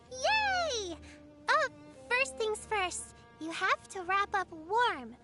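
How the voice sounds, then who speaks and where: A woman's voice: extremely high pitch, pushed and bright, heavily acted. A woman voicing a sweet, caring little girl in an anime video game, polite and earnest with childlike wonder. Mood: excited, motherly.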